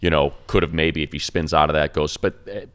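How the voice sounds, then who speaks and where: A man's voice: very deep voice, flat delivery, brisk, fairly bright tone. A man, a radio play-by-play announcer, talking football in casual chat, emphatic and punchy as he builds his points.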